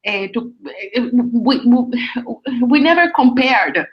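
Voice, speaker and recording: A woman with a Puerto Rican accent answering interview questions conversationally, often pausing to search for words and repeating phrases. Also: on the high side, animated, muffled recording. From Puerto Rico. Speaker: interview guest, astronomer.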